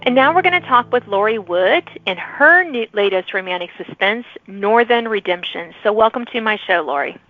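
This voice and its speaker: A woman's voice: medium pitch, animated, normal speed, hardly any pauses, soft background music. A woman hosting a podcast, interviewing guests in a conversational way. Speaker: podcast host. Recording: dry, slightly dull lo-fi audio.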